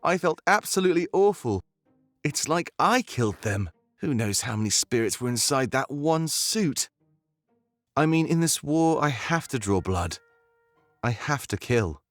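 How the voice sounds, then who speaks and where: A man's voice: mid-range voice, no room sound, natural voice. A man voicing an earnest rebel guide in a visual novel game, conscience-stricken but with a playful streak, talking in a musing, conversational way. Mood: remorseful, musing.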